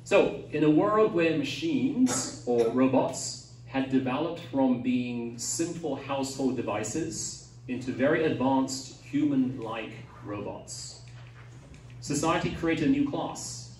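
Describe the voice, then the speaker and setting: A man's voice: mid-range voice, lively intonation, takes it slowly, darkish tone, recorded from a distance, slight background noise. A man in his forties from Taiwan, a pastor giving a talk to students, hesitant with many fillers, chatty like a storyteller. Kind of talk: lecture to students. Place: university lecture hall. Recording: roomy hall, voice from off mic.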